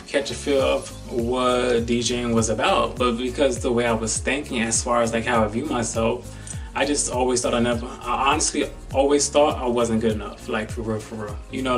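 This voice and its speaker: A man's voice: medium pitch, distant mic. A young man, a DJ, talking casually and animatedly to camera, calling his viewers y'all. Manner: animated, chatty, says y'all a lot. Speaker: DJ and vlogger.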